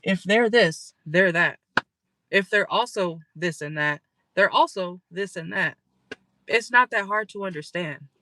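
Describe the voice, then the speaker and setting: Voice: mid-range voice, unhurried, sharp tone, rough recording. A tarot reader giving a casual reading for viewers from a car, blunt and profane, tagging lines with "okay?", and losing their voice.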